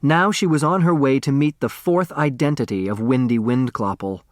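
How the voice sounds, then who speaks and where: A man's voice: medium pitch, moderate pace, no room sound, clean studio sound. A man narrating a story from a script, in the dramatic style of an audiobook.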